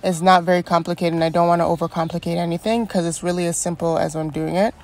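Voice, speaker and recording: A woman's voice: fairly low pitch, fairly even intonation, quick pace, darkish tone. Congested woman narrating a wig tutorial. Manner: chatty and encouraging, frequent ums. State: congested from a cold. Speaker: wig stylist and beauty vlogger. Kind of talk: step-by-step tutorial voice-over.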